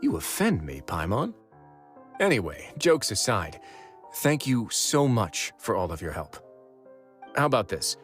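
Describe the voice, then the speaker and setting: An actor playing a cool, commanding duke of a prison fortress in a video game, speaking in a measured, dry way. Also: male voice, on the low side, big pitch swings. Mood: relaxed teasing. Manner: measured, dry, quietly threatening or teasing. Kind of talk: video game dialogue acting. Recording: music under the voice.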